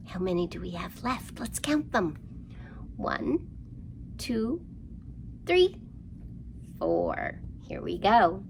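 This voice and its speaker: A woman presenting a children's storytime, speaking in an animated, sing-song way and prompting young listeners through rhymes and counting. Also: medium pitch, gravelly, a little hiss or hum, rough recording.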